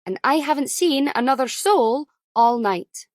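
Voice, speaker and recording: A brisk night nurse, voiced by a woman narrator, speaking with crisp, professional firmness. Her manner is pleasant but brooks no argument, in a dry, close audiobook recording. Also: high voice, lively intonation, dry recording, pitched above the performer's own voice. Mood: crisp, irritated.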